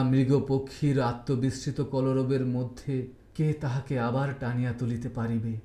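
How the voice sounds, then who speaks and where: A man reading a literary story aloud as narrator, in a measured, dramatic storytelling manner. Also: medium pitch, mellow, echoey room.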